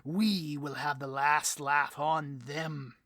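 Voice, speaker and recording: A man playing a vain, easily riled supervillain boss in a comedic audio roleplay, grandiose and melodramatic, with a husky voice. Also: a little high, fairly even intonation, slow, sharp tone, no echo, clean studio sound, full character voice. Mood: gloating excitement. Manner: grandiose, melodramatic, petulant speechifying.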